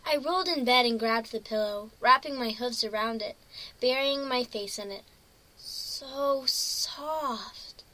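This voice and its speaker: A woman's voice: on the high side, pushed and bright, rough recording. A woman voicing a naive, dazed female unicorn pony in a first-person story reading, dreamy and hesitant. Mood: drowsy, cozy.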